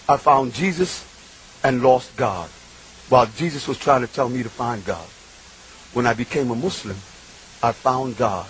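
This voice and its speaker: A man, preaching an impassioned sermon that swings from loud shouting to hushed murmurs. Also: mid-range voice, slight background noise, low-quality recording. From Bronx, New York.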